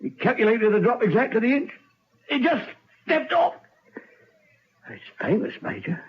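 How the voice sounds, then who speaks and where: Haunted prison hangman: drunk, rambling, husky, swinging wildly in pitch, on a dull old radio recording. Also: big pitch swings, moderate pace, exaggerated voice. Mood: drunken, haunted. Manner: slurred, rambling, agitated, repeating himself. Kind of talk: old radio drama acting.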